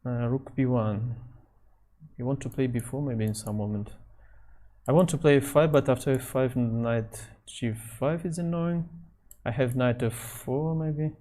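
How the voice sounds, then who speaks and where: A man talks slowly through a chess game in English. He thinks out loud, often pausing mid-phrase. Soft mouse clicks can be heard behind him. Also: mid-range voice. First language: Nogai. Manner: halting non-native English, thinking out loud. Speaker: chess streamer. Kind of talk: thinking aloud while playing online chess.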